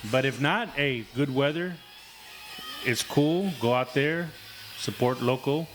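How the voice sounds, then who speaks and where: A man co-hosting a casual sports chat in an easygoing, steady way. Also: mid-range voice, slow, faint music behind. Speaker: co-host.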